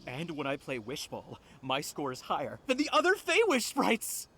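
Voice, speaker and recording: A man's voice: on the high side, wildly swooping pitch, normal speed, sharp tone, heavily acted. A man playing a zealous, starry-eyed little sprite in a video game, boastful, dramatic and gushing with reverence. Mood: worshipful, boastful. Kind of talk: video game character acting. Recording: music behind the voice.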